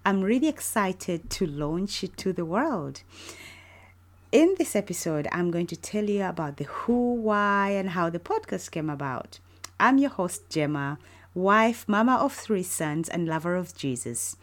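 Earnest, low-voiced woman podcast host. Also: big pitch swings, a little slow. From Uganda. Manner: earnest, encouraging, preacherly. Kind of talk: faith-based podcast monologue.

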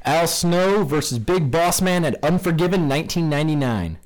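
A man's voice: fairly high pitch, moderate pace, low-quality recording. A man hosting a casual trivia game among friends, reading out questions and laughing often. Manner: animated, laughs often, reads questions aloud. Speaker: quiz host.

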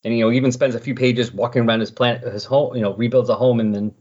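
A man's voice: mid-range voice, little pitch movement, quick pace, a little mellow, slightly rough. A man hosting a casual, rambling comic-book recap, slipping into paraphrased dialogue as he goes. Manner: animatedly paraphrases characters' dialogue in casual asides. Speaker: podcast host discussing comics. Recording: dull, lo-fi voice recording.

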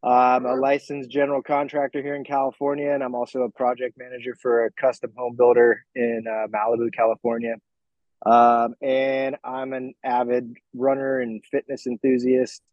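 A man's voice: mid-range voice, level tone, no room sound. Dull-sounding American man, forties, talking candidly. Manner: reflective, candid, halting as he picks words. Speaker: fitness coach, podcast guest. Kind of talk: informal interview, personal storytelling.

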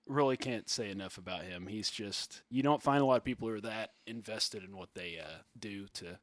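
A man in his thirties, a musician, chatting casually on a podcast in a soft, fairly high voice, rambling with lots of fillers and restarts. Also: brisk, no echo.

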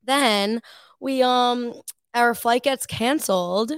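A woman's voice: a little high, takes it slowly, clear, resonant tone, no room sound. A woman in her twenties from Long Island, co-hosting a podcast in casual chat and telling stories with frequent laughs. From Long Island, New York. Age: 20s. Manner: chatty storyteller, laughing often. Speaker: podcast co-host.

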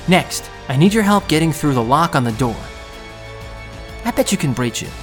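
A man's voice: a little high, animated, normal speed, close to an everyday voice. An actor playing a determined young undercity thief who narrates his own story in an earnest, resolute way. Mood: urgent, insistent. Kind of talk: audio drama narration and dialogue. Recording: music under the voice. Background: music.

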